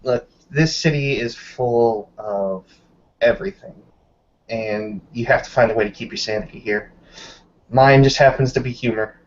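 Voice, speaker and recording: A man plays a werewolf judge, a worldly woman of the city, for a tabletop game. The delivery is wry and matter-of-fact at a middling pitch. The sound is a little dull. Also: normal speed, slight background noise, natural voice. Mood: frank, reassuring.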